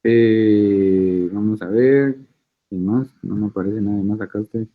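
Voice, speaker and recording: Chatty lecturer on a tinny call. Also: male voice, on the low side, steady tone, slow. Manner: informal, chatty, teasing. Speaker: university lecturer. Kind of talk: online class roll call and lecture. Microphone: video call. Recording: tinny phone-like call audio.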